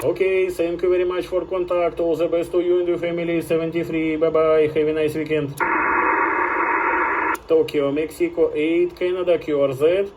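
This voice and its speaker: Man, somewhat high voice, amateur radio operator, polite stock phrases, spelled call signs, heavy static noise. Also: flat delivery, moderate pace. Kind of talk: formulaic ham radio contact exchanges with spelled call signs. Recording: very noisy radio static and clicks.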